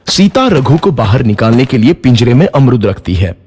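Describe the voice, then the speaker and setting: A man's voice: medium pitch, very animated, normal speed, darkish tone, slightly rough. A man narrating a scripted moral story for children.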